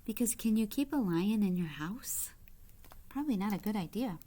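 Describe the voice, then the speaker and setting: A woman's voice: medium pitch, lively intonation, moderate pace, mellow. A woman reading a picture book aloud like a teacher, explaining as she goes and putting simple questions to young listeners.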